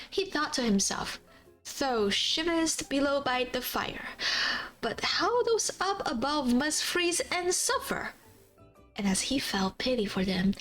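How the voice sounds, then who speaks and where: A woman livestream host casually reading a fairy tale aloud, halting over old-fashioned words in a giggly, apologetic way. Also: on the high side. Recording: faint background music. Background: soft background music.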